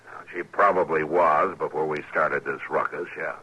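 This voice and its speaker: Deep, gruff frontier marshal. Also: male voice, steady tone, moderate pace, pushed and bright, highs cut off, exaggerated voice. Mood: tired, subdued. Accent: American. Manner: blunt, curt. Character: gruff, weary, deep-voiced frontier marshal, reluctantly caring. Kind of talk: old-time radio Western acting. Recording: vintage radio drama recording.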